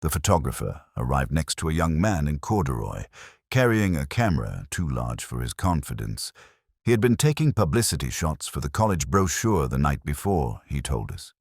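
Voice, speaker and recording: A man giving a measured, brooding first-person narration of a detective story. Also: very deep voice, sing-song, creaky, slightly rough, no echo, studio quality. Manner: measured, brooding, literary delivery.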